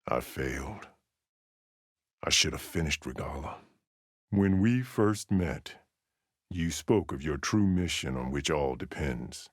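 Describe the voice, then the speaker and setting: Very deep, commanding warrior chief. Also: male voice, animated, slow, long pauses, slightly rough, dry recording, clean studio sound, heavily acted. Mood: grave, regretful, then stern, measured. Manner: solemn, formal, authoritative. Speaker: video game character voice actor. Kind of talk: dramatic game dialogue.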